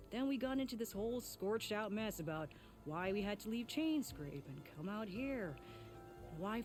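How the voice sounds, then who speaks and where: A woman's voice: mid-range voice, a little mellow, close to an everyday voice. An actress playing a worried, guilt-ridden female tinkerer in a video game, speaking in an earnest, wry, conversational way. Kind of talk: video game character acting. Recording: faint music behind the voice.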